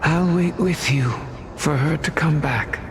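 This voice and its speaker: An actor voicing a gentle, weary master craftsman in a video game, with a creaky, consoling voice. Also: on the high side, unhurried, background noise, lightly acted.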